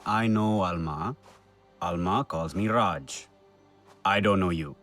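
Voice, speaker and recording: An actor playing a gruff, sardonic resistance supply keeper in a video game, blunt and teasing with a husky voice. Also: male voice, low-pitched, takes it slowly, fairly bright tone, faint music behind. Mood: curt, prickly. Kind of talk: video game cutscene acting.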